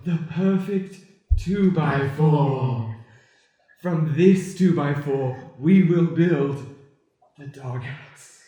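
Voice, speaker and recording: A man playing a polite, mild-mannered boyfriend with a gently dry delivery in an improvised comedic radio play. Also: a little high, very slow, muffled recording. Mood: consoling. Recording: very echoey room, faint noise.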